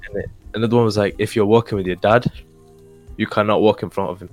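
Young male podcast co-host, deep voice, informal chat, faint music bed. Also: fairly even intonation, fast talker.

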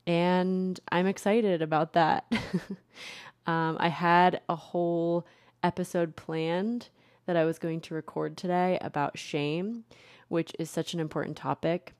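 A woman's voice: on the low side, steady tone, measured pace, a little mellow, smooth, no echo, clean studio sound. A young woman hosting her own podcast, talking casually and a bit self-deprecatingly, with lots of fillers and restarts. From Connecticut. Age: young adult. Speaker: podcast host, therapist. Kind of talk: casual solo podcast talk.

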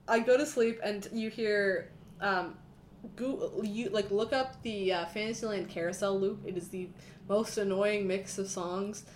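A woman's voice: medium pitch, steady tone, measured pace, slight background noise. Young woman, podcast co-host, chatty, self-mocking, roomy sound. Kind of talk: casual chat.

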